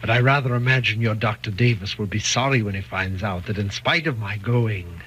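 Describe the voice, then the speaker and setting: An actor in a 1940s radio thriller playing a menacing, controlling husband, deliberate, insistent and falsely tender. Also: male voice, on the low side, a bit bright, natural voice. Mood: cold, mocking. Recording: dull, faintly noisy 1940s radio recording.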